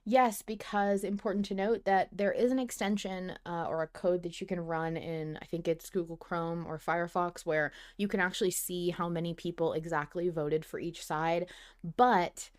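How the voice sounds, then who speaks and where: A woman hosting a podcast, chatting casually and emphatically, with informal, animated delivery. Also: fairly low pitch, normal speed. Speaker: podcast host. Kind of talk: casual fan podcast chat.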